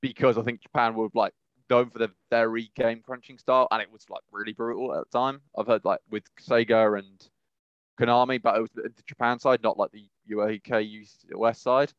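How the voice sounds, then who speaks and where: A man co-hosting a podcast, casually discussing news in a halting, rambling way and often rephrasing mid-sentence. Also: mid-range voice, fairly even intonation, clear, resonant tone, no room sound, muffled recording. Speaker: podcast co-host.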